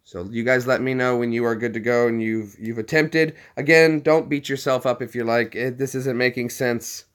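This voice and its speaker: A man's voice: mid-range voice, fairly even intonation, moderate pace, few pauses, clear, resonant tone. Chatty American man with a neutral accent. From Tennessee, United States. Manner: chatty and jokey, explains step by step. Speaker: vlogger. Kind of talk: casual chat to camera.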